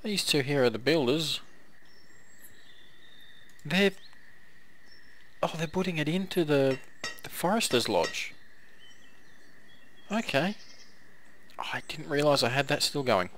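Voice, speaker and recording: A man giving casual commentary while playing a strategy game, hoarse and coughing now and then as his voice wears out, chatting to his villagers as he goes. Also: fairly high pitch, lively intonation, takes it slowly, long pauses, a bit bright, a little hiss or hum. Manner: chatty, talks to the game's villagers. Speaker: gameplay commentator. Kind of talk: casual let's-play commentary.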